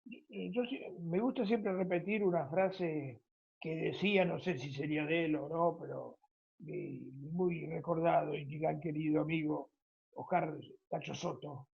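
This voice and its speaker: A middle-aged man with an Argentine Spanish accent and a husky voice, talking conversationally as an interviewer. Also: on the high side, a little slow. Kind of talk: conversational radio-style interview. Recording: dull lo-fi audio.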